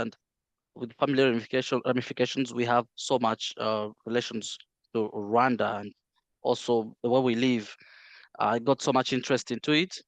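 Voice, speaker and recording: Congolese guy, swahili first language, lots of uh's, mid pitch, dry close mic. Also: no room sound. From Democratic Republic of the Congo. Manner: measured, many "uh" fillers and restarts. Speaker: interview guest, healthcare interpreter. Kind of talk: casual interview, recounting his life.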